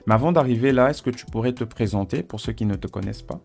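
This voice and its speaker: A man's voice: medium pitch, fast talker, vocal fry, faint music behind. A man hosting a podcast interview, speaking casually and often repeating words as he searches for phrasing. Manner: relaxed, hesitant with repeated words. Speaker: podcast host.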